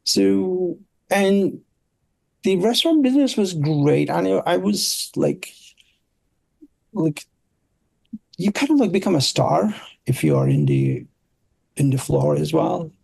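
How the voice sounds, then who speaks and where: Gravelly middle-aged Turkish-accented man. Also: high-pitched, sing-song, a little mellow, no echo. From Turkey. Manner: halting, searching for words. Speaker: interview guest, product designer. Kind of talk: casual conversational storytelling.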